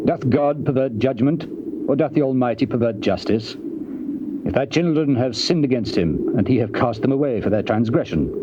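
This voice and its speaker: An actor playing a stern, righteous counselor who reproves in formal, rhetorical scripture drama. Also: male voice, mid-range voice, close to an everyday voice. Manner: rhetorical, admonishing, formal archaic diction. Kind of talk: dramatized scripture reading, stage-trained acting. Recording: noisy, muffled old cassette tape.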